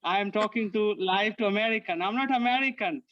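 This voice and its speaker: An Indian man with a fairly high voice talks earnestly over a video call, stopping and restarting as he searches for words. The call audio sounds a bit dull and lo-fi. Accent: Indian English. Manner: earnest, halting with many fillers and restarts. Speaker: guest, online English teacher. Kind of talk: casual video-call chat.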